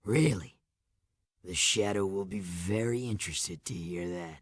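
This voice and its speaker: A sardonic male resistance commander from a video game. His voice is deep and husky, and he gives orders tersely in a close, dry recording. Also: measured pace, no room sound. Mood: commanding. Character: gruff, sardonic male underground resistance leader. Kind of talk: video game cutscene acting.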